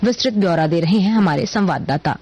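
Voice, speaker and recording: A woman reading a scripted radio news bulletin in a formal, measured announcer's manner. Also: on the low side, animated, husky, dull audio.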